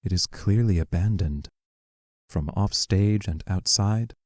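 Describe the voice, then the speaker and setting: A man giving scripted narration of stage directions for an audio play in a measured, matter-of-fact way. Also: sits low, darkish tone, dry recording, studio quality.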